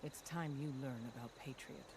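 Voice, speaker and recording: A woman's voice: very deep voice, normal speed, a little mellow, a little hiss or hum. A woman voicing a stern, secretive underground resistance leader in a video game, speaking in a grave, commanding way. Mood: grave, urgent.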